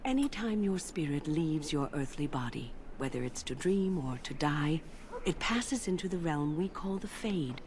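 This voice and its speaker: An actress voicing a calm, wise older woman mage who explains things in an unhurried, gently lecturing way. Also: low-pitched. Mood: thoughtful. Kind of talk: video game voice acting.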